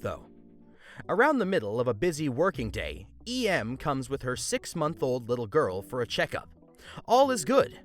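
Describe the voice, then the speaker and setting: A man hosting a story channel, reading online stories aloud and adding his own comments in an animated way. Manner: animated, swings pitch for emphasis. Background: faint background music.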